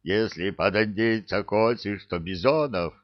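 Guy doing an exasperated young fairy-tale hero, naive and grumbly, slow low voice, lo-fi. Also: dry recording, close to an everyday voice. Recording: dull lo-fi audio.

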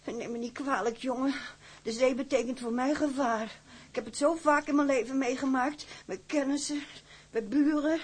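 Breathy, anxious motherly woman. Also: sits high, fairly even intonation, normal speed. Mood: worried. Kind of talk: drama dialogue.

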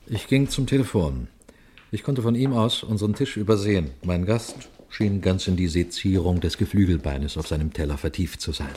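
Low-voiced, composed detective played by an actor. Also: male voice, moderate pace, background noise, close to an everyday voice. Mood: measured narrating. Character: male police detective, calm, shrewd, quietly triumphant. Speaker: detective narrating his own case. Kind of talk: German radio crime drama acting and narration.

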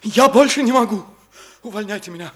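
An actor in a radio drama playing an outraged employee who shouts in hysterical, shrill disgust. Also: male voice, fairly quick. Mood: hysterical outrage. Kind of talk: theatrical radio drama acting.

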